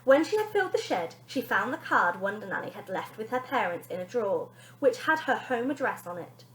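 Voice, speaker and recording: A woman teacher reading a children's story aloud in an expressive, dramatic storytelling style. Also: on the high side, a little fast, a little hiss or hum. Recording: echoey room.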